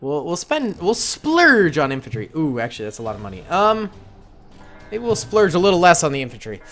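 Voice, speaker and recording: A young man gives easygoing commentary over a strategy game, joking in slang as he plays. His pitch moves around a lot as he talks. Soft music runs faintly beneath his voice. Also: wildly swooping pitch, a little fast. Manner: playful, jokey slang. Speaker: gaming YouTuber. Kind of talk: casual gameplay commentary.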